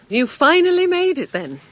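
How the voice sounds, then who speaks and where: An actress playing an adventurous, teasing wife in her mid-thirties in a radio drama, breezy and expressive. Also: very high-pitched, unhurried, heavily acted. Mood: playful, eager.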